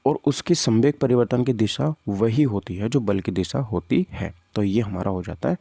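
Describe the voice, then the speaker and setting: Low, mellow male teacher. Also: normal speed, gravelly, slightly rough, no echo. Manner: conversational, repeats points, poses questions to students. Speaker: physics teacher. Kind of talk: informal exam-prep lesson, walking through questions and answers.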